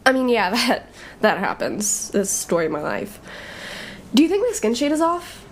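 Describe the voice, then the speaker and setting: Woman playing brash young female thief, audio drama, sardonic banter, high pitch, swooping intonation. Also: sing-song, moderate pace, a little hiss or hum. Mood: unsure. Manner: breezy, sardonic, slangy banter with laughs. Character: brash, sarcastic young shape-shifting female thief, college student. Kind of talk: audio drama dialogue, casual and conversational.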